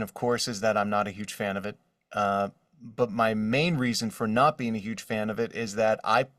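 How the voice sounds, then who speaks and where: A man talks casually as an interview guest, a working voice actor. His pitch is average and he sounds a little away from the microphone in a dry space. He is conversational and illustrates points with quoted asides. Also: a bit bright, dry recording, distant mic.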